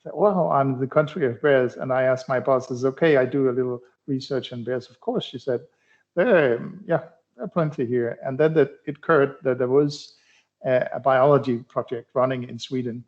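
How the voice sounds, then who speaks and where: A middle-aged man from Denmark, a cardiology researcher answering interview questions in a halting way, with many fillers and restarts. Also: mid-range voice, darkish tone. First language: Danish. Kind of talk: conversational expert interview. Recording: dull lo-fi sound.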